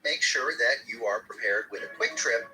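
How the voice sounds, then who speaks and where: Male commercial voice, mid pitch, very bright, faint music bed. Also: normal speed. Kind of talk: radio commercial dialogue.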